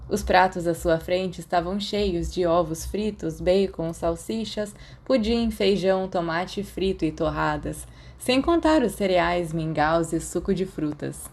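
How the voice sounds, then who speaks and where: A woman's voice: lowish voice, a little slow, creaky, hardly any pauses, darkish tone. A woman with a Brazilian accent reading a story aloud as scripted narration. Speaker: audiobook narrator of fan fiction.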